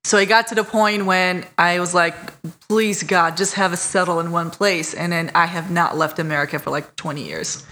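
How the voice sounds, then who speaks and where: A woman's voice: lowish voice, steady tone, normal speed, few pauses, a little hiss or hum. A woman from Iraq, a podcast guest telling her life story in a candid, self-mocking way. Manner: warm, candid, laughs at herself. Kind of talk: casual interview storytelling.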